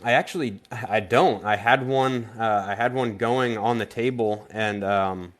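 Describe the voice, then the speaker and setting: A man from Vermont, a guest artist on a casual live-stream interview, speaking in a halting way with frequent restarts. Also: fairly low pitch. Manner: halting, stops and restarts often.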